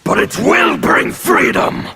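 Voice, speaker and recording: A man playing a menacing, fanatical anarchist revolutionary in a fighting game, with a gravelly, forceful and defiant delivery. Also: slow, very noisy, natural voice. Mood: furious outrage. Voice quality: gravelly, intimidating. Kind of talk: video game character dialogue.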